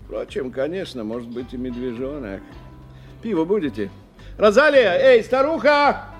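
Guy playing a down-to-earth skeptical bloke in an old radio play, colloquial and gruff manner, really lively intonation, bit of music. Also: a little high, moderate pace, close to an everyday voice. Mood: bluff, musing. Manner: colloquial, gruffly familiar, interjecting. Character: blunt, earthy man, skeptical drinking companion. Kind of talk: 1990 radio play acting.

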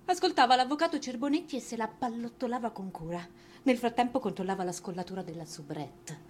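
A woman's voice: fairly high pitch, darkish tone, slight background noise. An actress playing a humiliated, indignant wife in a theatrical monologue, dramatic and mocking as she stretches words for emphasis.

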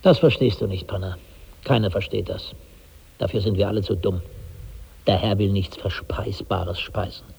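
Gravelly, dry male chronicler. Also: on the low side, fairly even intonation, moderate pace, darkish tone, heavily acted. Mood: resigned, ironic. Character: dry, resigned male companion and chronicler of a rich glutton. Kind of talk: 1960s radio drama narration. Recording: reverberant 1960s radio studio recording.

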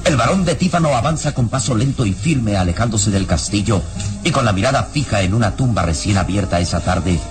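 Casting: male narrator for old-time radio drama; lowish pitch, scripted dramatic delivery over clear music. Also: expressive, a little fast.

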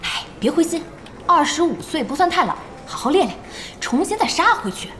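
A young woman playing a spirited, bossy gamer in an audio drama, brisk and mocking in tone. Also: very high voice, big pitch swings, a bit bright, slight background noise, exaggerated voice. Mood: teasing. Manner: brisk, mocking, big-sisterly.

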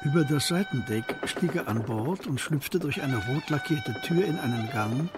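Narrator, male, mid register, scripted radio drama storytelling over clear music bed. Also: mid-range voice, animated, normal speed.

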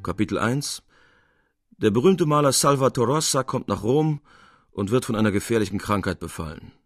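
A man's voice: on the low side, no echo, clean studio sound. A man giving a formal, articulate reading of a classic literary novella as narrator. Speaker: reader, narrator.

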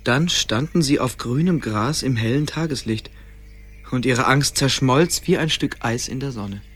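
A man's voice: mid-range voice, unhurried, a bit bright, breathy edge. A man narrating a radio play as a scripted storyteller.

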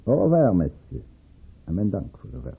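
Husky, scheming courtier, swooping and insinuating. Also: male voice, sits low, huge pitch swings, exaggerated voice. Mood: ironic, theatrical. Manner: measured, probing, formal and insinuating. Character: scheming, silky high-ranking male courtier conspiring against the tsar. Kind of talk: radio play acting. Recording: muffled, narrow-band old radio recording.

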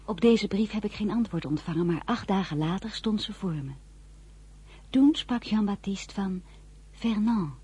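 Radio drama lead: tender young bride and narrator, very husky and mellow. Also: female voice, medium pitch, lively intonation, normal speed. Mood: calm narrating. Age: young adult. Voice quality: husky, breathy. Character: Désiré, tender young newlywed wife telling her own story.

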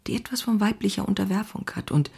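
An actress playing a passionate, restless young woman writer who confides her diary in an intimate, confessional, often breathy way. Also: mid-range voice, fairly even intonation, fast talker, darkish tone, heavily acted. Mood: ecstatic, anguished. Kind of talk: dramatic diary reading.

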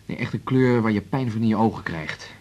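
Actor as a worried, husky-voiced man. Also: fairly low pitch, a little fast, a little mellow, natural voice. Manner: hesitant, self-correcting. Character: worried, hesitant male partner of a young doctor. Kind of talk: radio drama acting.

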